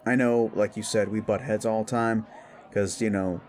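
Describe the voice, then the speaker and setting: A man's voice: lowish voice, steady tone, moderate pace, a little mellow, a faint crowd, natural voice. A man voicing a brash, cocky young werewolf bully boss who swears and gets defensive, in a scripted audio drama. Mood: awkwardly grateful.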